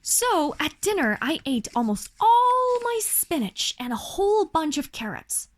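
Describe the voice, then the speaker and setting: A woman with a Chinese accent reads a children's picture book aloud expressively, as a parent would to a young child. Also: extremely high pitch. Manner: slow, animated storytelling for a young child. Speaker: parent reading a bedtime story to a child.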